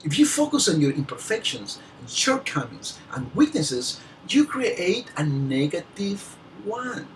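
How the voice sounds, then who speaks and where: Slow, emphatic Peruvian Spanish-accented motivational speaker. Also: medium pitch, big pitch swings, far from the mic, a little hiss or hum, poor audio. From Peru. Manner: earnest and emphatic, with frequent small laughs and restarts. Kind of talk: motivational speech.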